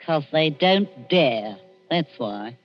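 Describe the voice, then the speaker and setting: A woman's voice: low-pitched, lively intonation, slow pace, soft background music. An actress playing a sinister, falsely sweet old woman, in 1940s radio style. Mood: sly, menacing. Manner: oily, falsely sweet, taunting. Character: wheedling, sinister old female body-snatcher boss. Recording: dull, muffled old radio recording.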